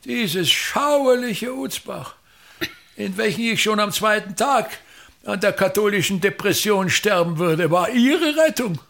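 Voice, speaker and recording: A man's voice: high-pitched, lively intonation, a little slow, gravelly, a bit bright, full character voice. An actor playing a pompous, contemptuous old male theater director in a radio drama, with an Austrian accent and a declamatory, scornful way of talking.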